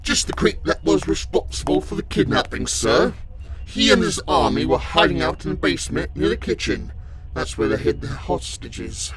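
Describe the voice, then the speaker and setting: A man's voice: medium pitch, sing-song, moderate pace, vocal fry, a bit bright, rough recording. A man playing a wry, dutiful security officer with a matter-of-fact manner in a fan audio drama. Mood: dry, respectful. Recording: music behind the voice.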